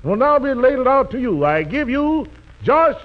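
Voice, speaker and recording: A man emcees an old 1940s radio show with showy, joking jive patter. His high voice swings widely up and down, and the sound is dull and dated. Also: big pitch swings, moderate pace, noisy background. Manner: jokey, showy, flowery announcing.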